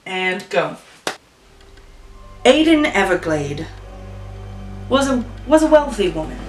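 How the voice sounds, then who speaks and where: A woman's voice: mid-range voice, big pitch swings, slow, distant mic. A woman spins an improvised tale in a loose, chatty way, thinking aloud as she goes. She sits some way back from the mic in a roomy, echoey space, with faint music underneath. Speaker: storyteller. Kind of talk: casual improvised storytelling.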